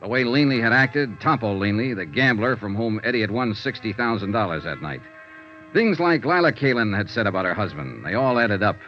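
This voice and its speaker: A man's voice: on the low side, very animated, fairly quick. An American actor playing a tough, shrewd male investigator in a 1950s radio noir serial, with clipped first-person delivery. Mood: brisk, confident. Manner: clipped, hard-boiled delivery. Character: tough, shrewd male freelance insurance investigator, hard-boiled. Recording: muffled vintage radio recording, orchestral music.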